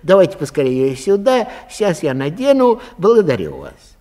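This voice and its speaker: A man's voice: a little high, wildly swooping pitch, normal speed, darkish tone, voice pushed up. A man playing a naive, earnest citizen in a comic story, effusive and pleading in colloquial speech. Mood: delighted, effusive. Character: naive, pleading, grateful man who lost a galosh. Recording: long hall reverb.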